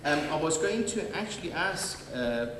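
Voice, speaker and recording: A man's voice: fairly high pitch, animated, moderate pace. A man moderating a panel, introducing speakers with many fillers and restarts. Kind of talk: hesitant live introduction. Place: large echoey hall. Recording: distant mic, echoey hall, faint noise.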